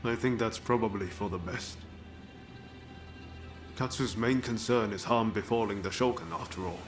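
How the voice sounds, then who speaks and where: A man's voice: lowish voice, moderate pace, over music, natural voice. A man playing a thoughtful, loyal ronin in a video game, plain-spoken and reflective. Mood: pensive. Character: thoughtful, loyal ronin weighing choices with a comrade. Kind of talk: natural video game cutscene acting.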